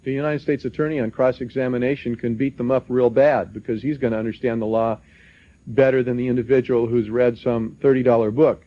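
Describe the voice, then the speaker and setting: Muffled recording of a plain-spoken man advising. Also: medium pitch. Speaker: legal researcher on a seminar panel. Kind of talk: seminar advice talk.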